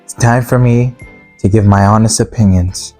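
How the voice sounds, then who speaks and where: A man's voice: fairly low pitch, fairly even intonation, moderate pace, a little mellow, faint music behind. A young man casually reviewing a video game movie, rambling and blunt with lots of fillers, his voice sounding tired and worn out.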